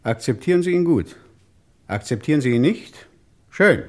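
A man's voice: mid-range voice, lively intonation, moderate pace, close to an everyday voice. A man playing a resolute, impatient French police inspector narrating his case in an old German radio crime drama, emphatic and insistent. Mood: determined. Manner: emphatic, insistent, clipped orders. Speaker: detective narrator.

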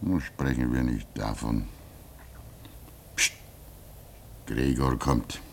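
An actor playing a fatherly, cautious veteran of a polar station in a German radio drama, speaking in a measured, gentle, soothing way. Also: very deep voice, creaky, breathy edge, heavily acted. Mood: hushed, cautious.

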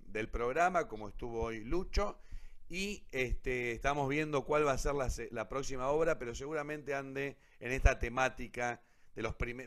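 A man's voice: medium pitch, a bit bright. Argentine man hosting a casual radio show. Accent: Argentine Rioplatense. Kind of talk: casual live show sign-off.

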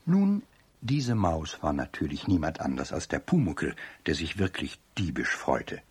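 A man's voice: very deep voice, sing-song, unhurried, slightly rough, heavily acted. A man as an amused, friendly narrator telling a children's radio story in scripted prose. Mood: amused narration.

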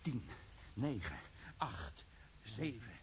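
A man's voice: on the low side, sing-song, very slow, noisy background, heavily acted. A man playing a terse, focused army explosives expert in a radio drama.

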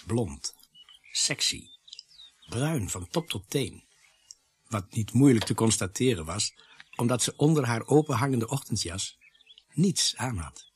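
A man's voice: medium pitch, a little hiss or hum, natural voice. An actor playing an observant, wry male narrator telling a detective story in a scripted radio play.

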